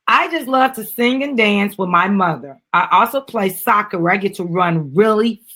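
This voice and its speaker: Slightly husky middle-aged woman reading a storybook. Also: medium pitch, moderate pace, sharp tone. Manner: addresses the child and audience, prompting with questions. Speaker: mother reading a children's book with her daughter. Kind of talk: dramatic storybook reading with casual asides.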